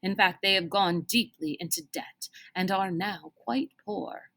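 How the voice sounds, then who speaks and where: A woman reading aloud as a formal, kindly older gentleman mentor who speaks in deliberate, old-fashioned phrases. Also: sits high, vocal fry, full character voice. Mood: earnest explaining.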